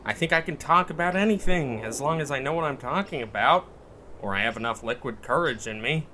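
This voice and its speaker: A man's voice: mid-range voice, fairly quick, a little hiss or hum. A man playing a cocky, wisecracking would-be podcast storyteller in naturalistic audio drama dialogue, with a flippant manner. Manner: flippant, deadpan joking.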